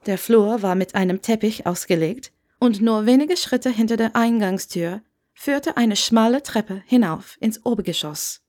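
A woman's voice: on the high side, a little slow, a little mellow. Woman narrating an audiobook story.

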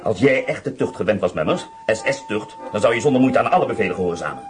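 An actor playing a stern, scheming SS captain who gives curt commands in a radio play. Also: male voice, on the low side, animated, fast talker, music in the background, lightly acted. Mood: commanding. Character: stern, scheming middle-aged male SS captain. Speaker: German prisoner-of-war officer. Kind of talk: radio drama acting.

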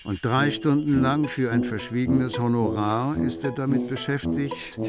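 An actor playing a wry, measured storyteller, narrating a literary radio drama with deliberate phrasing. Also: male voice, medium pitch, steady tone, lightly acted. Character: wry, measured male storyteller of a city night tale. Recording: prominent music behind the voice.

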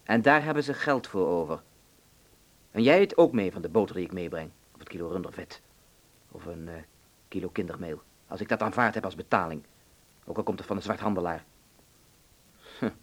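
Cynical, lowish-voiced, needling lawyer. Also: male voice, animated, brisk, long pauses. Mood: self-justifying. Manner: sardonic, needling. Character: cynical, coarse, self-satisfied wartime lawyer, mocking. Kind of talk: Dutch radio play acting.

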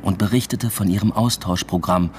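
A man's voice: deep voice, fairly even intonation, normal speed, a little mellow, slight background noise, natural voice. An actor playing an introspective young doctoral student who narrates his own story with restraint in a literary radio drama. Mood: reflective.

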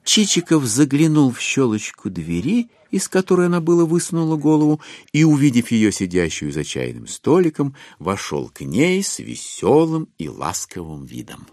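A man narrating a classic literary story in an expressive, measured storytelling manner. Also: mid-range voice, no echo, clean studio sound.

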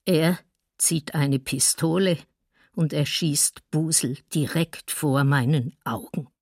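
A woman's voice: deep voice, slow, darkish tone, dry recording, clean studio sound, heavily acted. An actress playing a woman witness giving grave testimony in a documentary radio drama. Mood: grave, shaken. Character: woman witness recalling a neighbour's killing, grave.

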